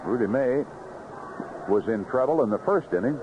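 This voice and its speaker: Animated American baseball announcer, vintage noisy radio. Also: male voice, mid-range voice, normal speed, narrow, tinny audio. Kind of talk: live radio play-by-play commentary. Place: ballpark broadcast booth.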